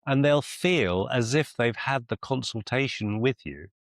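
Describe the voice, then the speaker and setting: A man coaching one-to-one on a video call as a mentor, deliberate and encouraging, often repeating phrases for emphasis. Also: mid-range voice, expressive, no room sound, studio quality. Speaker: business mentor and clinician.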